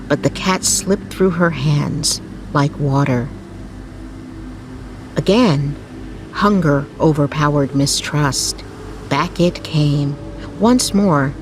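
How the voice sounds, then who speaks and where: A woman narrating a scripted bedtime story in a soothing, measured manner with careful phrasing. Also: sits low, noisy background. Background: music.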